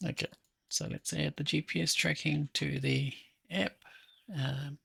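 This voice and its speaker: A man with a gravelly, husky voice talking casually to himself as he hosts a think-aloud software tutorial. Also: a little high, little pitch movement, measured pace, no echo, clean studio sound. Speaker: app developer hosting a coding session.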